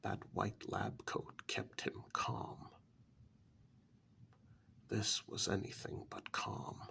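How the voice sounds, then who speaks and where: Man acting a creaky half-whispering indignant gentleman. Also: lowish voice, little pitch movement, exaggerated voice. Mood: grave, dismayed. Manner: measured, deliberate, formal wording with dramatic pauses. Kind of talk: soft-spoken ASMR storytelling role-play.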